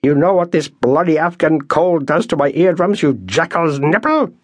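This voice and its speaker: A man voicing a sly, mock-humble army sergeant who heaps on elaborate flattery. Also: mid-range voice, normal speed, no room sound, clean studio sound, voice pushed up, close to an everyday voice. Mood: scornful.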